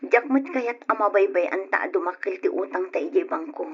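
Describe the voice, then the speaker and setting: A woman's voice: mid-range voice, animated, moderate pace, slight background noise, natural voice. A woman playing a burdened but determined, practical wife in an old radio drama, speaking earnestly and resolutely. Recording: dull, lo-fi old radio recording.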